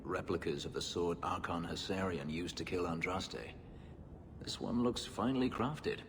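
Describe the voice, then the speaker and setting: Very deep-voiced brooding warrior, reverberant. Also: male voice, noisy background. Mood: grave, bitter. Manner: measured, grave, dryly sardonic. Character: brooding, bitter male warrior from a slave-keeping empire. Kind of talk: video game voice acting.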